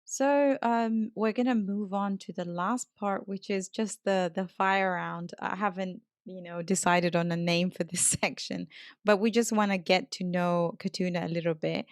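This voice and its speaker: A woman's voice: lowish voice, steady tone, unhurried, no echo, clean studio sound. A woman hosting a casual podcast interview about language learning, talking like a teacher to her listeners. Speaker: podcast host and English teacher.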